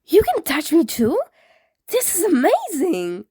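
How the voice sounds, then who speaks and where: A woman voicing a friendly, excitable teenage boy ghost in a story, with eager, emphatic, casual speech. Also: extremely high pitch, slow, no room sound, studio quality, pitched above the performer's own voice, heavily acted. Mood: excited, friendly.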